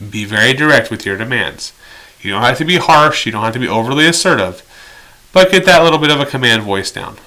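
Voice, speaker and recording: A man's voice: medium pitch, expressive, fast talker, bright, forceful tone, poor audio. A man giving an explanatory training lecture as an instructor, direct and instructional with conversational asides. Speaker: instructor in behavior therapy training.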